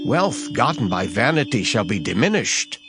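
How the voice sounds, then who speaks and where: Male scripture reader, mid-range, emphatic and theatrical, very expressive intonation, music bed behind him. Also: on the low side, moderate pace. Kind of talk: dramatized Bible reading, expressive recitation. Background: background music.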